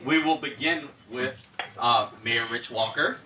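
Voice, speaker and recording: Very bright-voiced male event moderator. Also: medium pitch, measured pace. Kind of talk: introducing panelists. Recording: distant mic, faint background noise.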